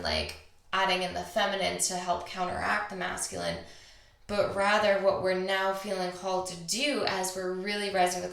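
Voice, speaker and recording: Slightly raspy, creaky-voiced woman podcaster. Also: mid-range voice, fairly bright tone. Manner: confiding, unscripted, reflective with drawn-out pauses. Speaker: podcast host. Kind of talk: intimate solo spiritual podcast talk. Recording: echoey, roomy sound.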